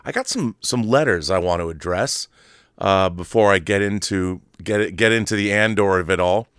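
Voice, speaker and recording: A man's voice: deep voice, normal speed, a bit bright, smooth, no echo, studio quality. A man hosting a solo podcast, talking casually and reading aloud with a theatrical, wordy showman's manner. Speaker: podcast host.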